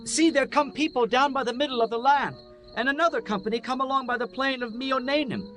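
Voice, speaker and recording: Woman doing a defiant bible character, really high sharp voice, urgent old-timey speech, bit of music behind. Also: a little fast. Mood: alarmed. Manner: declamatory, archaic diction. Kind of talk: dramatized scripture reading.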